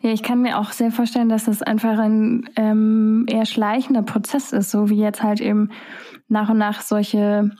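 A woman's voice: mid-range voice, monotone, normal speed, dark tone. A young woman, a singer-songwriter whose first language is German, talking casually in an interview, musing with many fillers.

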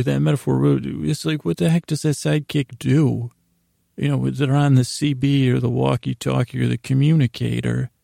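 A man hosting a bedtime podcast in a meandering, halting monologue with a gravelly voice, often interrupting himself. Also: mid-range voice, dark tone, dry recording, clean studio sound. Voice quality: gravelly, creaky. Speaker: podcast host. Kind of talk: rambling bedtime monologue to help listeners sleep.

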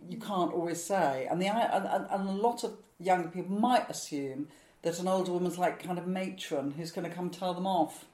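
Sixties comedian lady, lowish voice, rambly and self-mocking, roomy echo. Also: mellow. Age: 60s. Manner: chatty, rambling, self-mocking, laughs often. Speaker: stand-up comedian. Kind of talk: relaxed interview answers.

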